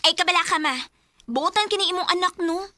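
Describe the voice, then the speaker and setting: A woman playing a young shop worker in a radio drama, eager and bright. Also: very high voice, brisk. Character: young woman working as shopkeeper.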